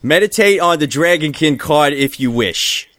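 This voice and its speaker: A man's voice: on the high side, lively intonation, normal speed, fairly bright tone. A man co-hosting a comedy podcast, reading an odd article aloud in a mock salesman's delivery.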